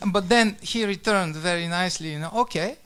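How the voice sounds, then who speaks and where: A man speaks as a participant in an informal discussion. His voice is high for a man and smooth. He talks casually and haltingly, often searching for the next word. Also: fairly bright tone.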